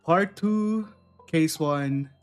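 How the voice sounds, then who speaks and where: A man's voice: on the high side, drawn-out words, faint music behind. A man casually chatting and joking while playing through a story game. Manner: laid-back, joking reactions. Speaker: game streamer. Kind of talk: casual let's-play chat.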